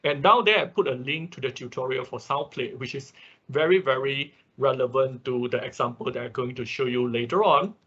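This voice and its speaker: A man with a husky, slightly rough voice teaches a technical online class in an informal way. He explains step by step and often repeats his phrases. His pitch is average, and the audio is dull and lo-fi, as on a class call. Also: fairly quick, fairly bright tone. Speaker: instructor of an online robotics class.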